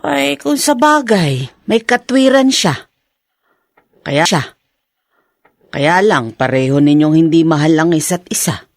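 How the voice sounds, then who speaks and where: A woman's voice: low-pitched, lively intonation, takes it slowly, pauses often, no room sound, heavily acted. A woman playing a practical, concerned middle-aged mother in an audio drama, earnest and questioning. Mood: thoughtful, concerned. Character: concerned, practical older mother advising her daughter. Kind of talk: melodramatic radio-style drama acting.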